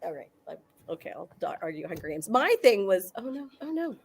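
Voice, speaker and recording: A woman's voice: mid-range voice, very animated, fairly quick, slightly rough. A woman arguing insistently as a guest in a casual comedic panel debate. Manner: argumentative, insistent.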